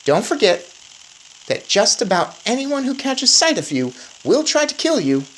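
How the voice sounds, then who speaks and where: A woman's voice: fairly high pitch, very animated, moderate pace, a bit bright, a little hiss or hum, pitched above the performer's own voice. A woman voicing a sensible young boy turned mouse in a storybook, earnestly explaining and coaxing. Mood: urgent.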